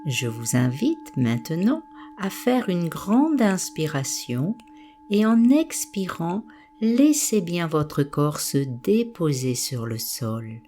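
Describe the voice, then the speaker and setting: A woman guiding a meditation, giving gentle, soothing and unhurried instructions. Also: fairly low pitch, very animated, slight background noise. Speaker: meditation guide. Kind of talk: guided meditation narration.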